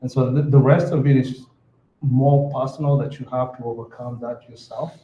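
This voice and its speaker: A man's voice: mid-range voice, fairly even intonation, moderate pace, warm tone, slight background noise. A man from Kenya with a Kenyan accent, answering earnestly as a panelist and often repeating words as he builds a sentence. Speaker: panelist entrepreneur. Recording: roomy, slightly dull hall sound.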